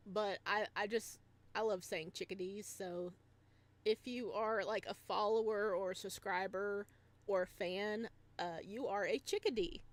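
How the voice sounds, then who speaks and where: A woman's voice: medium pitch, fairly even intonation, a little slow. A bubbly, giggly woman hosting a livestream, chatting casually with viewers and reading a visual novel aloud. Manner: bubbly, giggly, rambling reactions to chat and story. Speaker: livestreamer and host.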